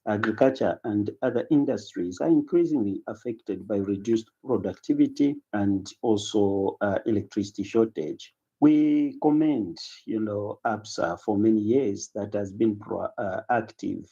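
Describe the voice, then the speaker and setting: A man giving a halting, formal statement in a lo-fi news clip. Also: on the low side, dark tone, breathy edge.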